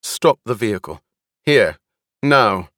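Man as commanding noblewoman, stern, measured, formal, resolute, audiobook character, close dry sound. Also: sits low, animated, fairly bright tone, no echo, heavily acted. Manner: authoritative, formal, deliberate.

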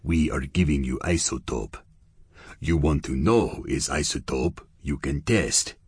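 A man doing a slow, menacing Russian heavy. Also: very deep voice, slightly rough, no room sound, studio quality, heavily acted. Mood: cold. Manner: broken English, slow and measured.

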